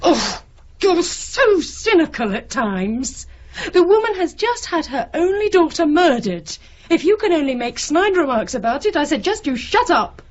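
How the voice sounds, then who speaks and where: An actress playing a forthright, exasperated grown daughter in a radio drama, with a British accent and a blunt, impatient manner. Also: female voice, high voice, sing-song, measured pace, highs cut off. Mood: exasperated, scolding. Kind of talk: naturalistic radio drama acting.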